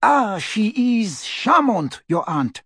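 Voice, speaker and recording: A young witch from a storybook, played by a male narrator, haughty and pointed. The voice is pitched high, with drawn-out words and a husky edge. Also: huge pitch swings, no echo, clean studio sound, full character voice. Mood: cross, sarcastic.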